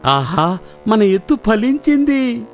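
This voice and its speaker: A woman's voice: on the high side, big pitch swings, slow, lo-fi sound, faint music behind. A woman voicing a sly, hungry fox who pretends to be holy, in a children's cartoon story. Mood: scheming.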